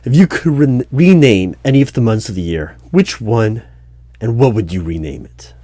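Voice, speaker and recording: A man playing a dry, unflappable hiring manager in a one-sided ASMR roleplay job interview, asking measured, halting questions. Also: mid-range voice, lively intonation, mellow, dry recording, close to an everyday voice. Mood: curious, deliberate. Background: computer keyboard typing and clicks.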